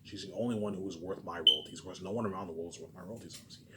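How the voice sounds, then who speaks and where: A man's voice: deep voice, rapid-fire, very noisy. A man delivering a rambling solo vlog into a phone, emphatic, boastful and repetitive, often asking questions and answering them himself. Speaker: vlogger. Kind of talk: rambling solo monologue to camera.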